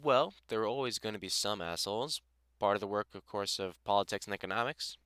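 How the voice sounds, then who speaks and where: A man's voice: lowish voice, a little fast, a bit bright, smooth, dry recording, clean studio sound, natural voice. A man playing a middle-aged British vampire hunter and carpenter in an intimate audio roleplay, blunt, sweary and teasingly affectionate. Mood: scornful, amused. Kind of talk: audio roleplay acting, intimate one-sided conversation.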